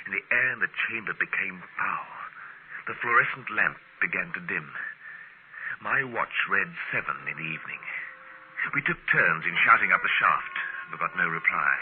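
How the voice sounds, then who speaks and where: An actor playing a steady expedition leader who narrates and acts in an old radio adventure, with a measured, dramatic manner. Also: male voice, sits low, soft background music, close to an everyday voice. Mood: grave, tense. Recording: narrow, hissy old radio recording.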